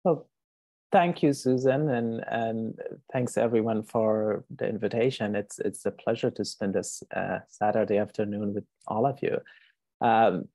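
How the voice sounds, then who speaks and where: Guest author, thirties, soft hesitant delivery, dry close sound. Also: male voice, fairly low pitch, measured pace, no echo. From Afghanistan. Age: 30s. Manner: gentle, hesitant, many fillers. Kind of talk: presenting over a video call. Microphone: video call.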